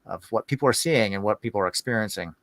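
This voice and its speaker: A man hosting a podcast in casual, rambling talk, musing aloud in halting phrases. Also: lowish voice, fairly bright tone, no room sound. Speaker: podcast host.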